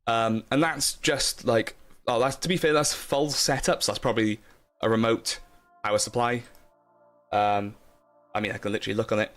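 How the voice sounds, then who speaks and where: A young man talks on a live stream in a chatty, rambling way, full of "um" and "like" and half-finished starts. His voice sits at an ordinary middle pitch. Faint music plays quietly underneath him. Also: fairly even intonation, normal speed. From Wales. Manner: rambling, chatty, lots of fillers and restarts, laughs often. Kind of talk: casual live stream chat.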